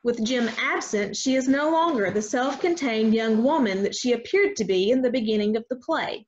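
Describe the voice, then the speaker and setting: Woman narrator reading stage directions, highish and animated, kinda muffled lo-fi audio. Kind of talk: scripted narration, play table read. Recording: dull lo-fi online call sound.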